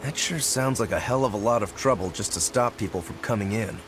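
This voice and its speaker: A man's voice: on the low side, fairly quick, noisy background, lightly acted. A man playing an easygoing young swordsman in a video game, casual and laid-back. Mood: breezy. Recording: game music under the voice.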